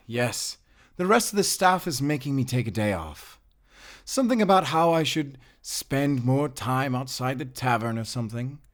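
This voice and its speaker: A friendly, earnest young-hearted tavern worker, played by a man in a fantasy audio drama. His casual, concerned delivery moves through a very lively range of pitch. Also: lightly acted.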